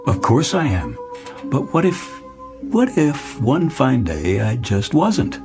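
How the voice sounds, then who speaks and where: An American actor playing a weary, wistful middle-aged businessman in an audio drama, speaking haltingly and repeating his words. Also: mid-range voice, very animated. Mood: distracted, musing. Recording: radio drama with clear music underneath.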